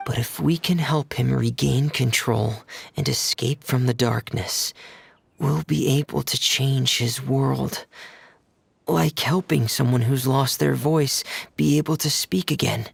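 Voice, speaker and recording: A man voicing a shy, dreamy young male diver in a video game, speaking softly and earnestly with some hesitation. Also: medium pitch, measured pace, creaky, slight background noise. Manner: soft-spoken, hesitant, earnest. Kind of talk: video game character dialogue.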